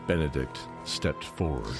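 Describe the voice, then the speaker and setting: Deep voiced guy reading fantasy story narration, music going underneath. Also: expressive, unhurried, vocal fry.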